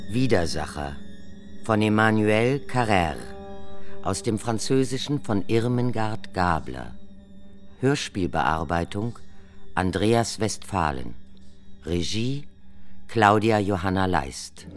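Slow, low male credits announcer over music. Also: big pitch swings. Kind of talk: scripted radio drama credits announcement. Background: musical instrument.

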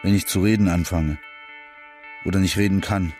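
Over prominent guitar music, a man plays a lonely, downcast working-class loser. His voice sits a little low and he lays out his life in short, bleak fragments, like a radio play monologue. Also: steady tone, moderate pace, darkish tone, close to an everyday voice. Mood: bleak, resigned. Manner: terse, bleak, fragmented phrases.

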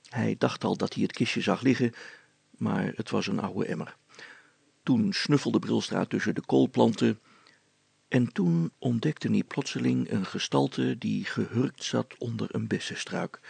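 A man's voice: fairly low pitch, gravelly, a little mellow, husky, dry recording. A man narrating a scripted children's story as a storyteller.

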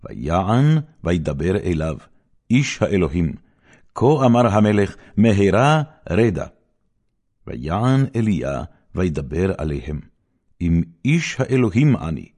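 A man reading scripture as a scripted narration, solemn and declamatory, in measured phrases with dramatic emphasis. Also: low-pitched, very animated, darkish tone. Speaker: audiobook Bible reader.

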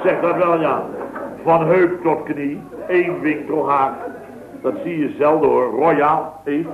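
A man's voice: a little high, steady tone, takes it slowly, crowd noise. An actor playing a boastful, wisecracking man at a building firm, telling a rambling comic anecdote in a 1960s radio sketch. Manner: chatty, rambling anecdote with punchy comic asides. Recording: tinny, noisy, echoey old radio broadcast.

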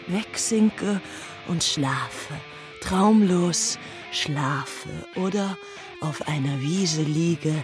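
An actress playing a weary woman who lulls herself toward sleep in a drifting radio play monologue. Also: low-pitched. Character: weary person soothing themself toward dreamless sleep. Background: plucked guitar music.

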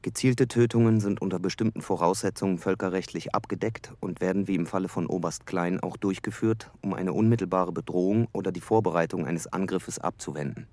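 An actor as a dry, factual explainer of military terms in a documentary-like radio drama. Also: male voice, low-pitched, fairly quick, few pauses, darkish tone, no echo. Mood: detached. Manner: detached, informative.

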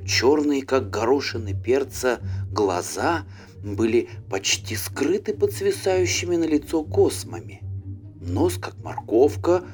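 A male audiobook narrator tells a children's fairy tale expressively, with animated intonation and a medium-pitched voice. Faint music plays behind him. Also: slow pace.

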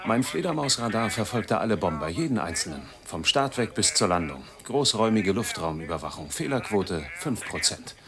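An actor playing an embittered, noise-obsessed man in his forties, sardonic and intense, telling his story in a husky voice in a radio drama monologue. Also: fairly low pitch, big pitch swings, normal speed, hardly any pauses, a crowd in the background. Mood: obsessive, grim. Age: 40s. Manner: sardonic, intense, with clipped fragments.

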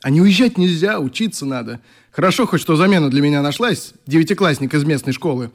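An actor playing a friendly young youth leader in a radio drama, telling stories in a chatty, expressive way with a wistful streak. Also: male voice, fairly high pitch, fast talker. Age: young adult. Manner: chatty, expressive, acts out remembered exchanges. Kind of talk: radio drama, reminiscing dialogue.

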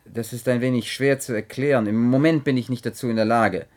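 A man's voice: mid-range voice, steady tone, fairly quick, darkish tone, natural voice. An actor playing an earnest, polite investigating chronicler in a German radio play, hesitant but persistent in his questions.

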